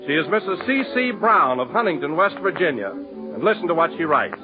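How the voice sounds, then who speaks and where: A man announces in the booming, formal manner of a 1930s radio host, his voice sitting rather high. The sound is thin and tinny like an old broadcast, and faint orchestra music plays behind him. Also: very animated, brisk.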